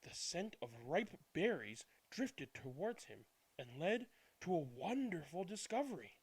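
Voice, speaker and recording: A male storyteller with a slightly high voice reads a picture book aloud. His delivery swings widely in pitch and leans dramatic, stretching some words and hushing others. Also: very animated, a little slow, husky. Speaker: read-aloud storyteller and channel host. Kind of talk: expressive children's picture book read-aloud, then casual chat.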